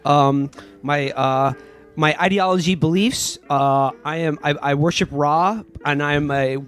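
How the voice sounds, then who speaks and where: A man's voice: a little high, measured pace, fairly bright tone. A man playing a tabletop game, casually introducing his character in a hesitant, repetitive way. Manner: hesitant, repeats words. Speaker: player in a comedy tabletop game. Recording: faint music under the voice.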